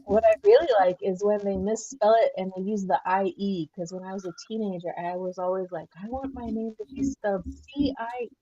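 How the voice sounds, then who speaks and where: A woman's voice: medium pitch, fairly quick, hardly any pauses, mellow, dull audio. A woman guest with a stuffed-up nose, chatting casually and easily as a travel expert. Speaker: guest Disney hotel expert. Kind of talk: casual chat.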